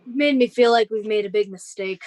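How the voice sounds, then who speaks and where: A woman's voice: mid-range voice, fairly quick, a little mellow, no room sound, studio quality, natural voice. A woman playing a strained, self-absorbed father in a live radio drama, earnest and defensive. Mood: defensive, guilty. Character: strained, self-absorbed father and novelist, dismissive then apologetic.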